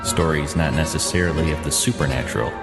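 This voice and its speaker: Very deep-voiced podcast host. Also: male voice, fast talker. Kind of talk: scripted podcast introduction and sign-off. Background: music under the voice.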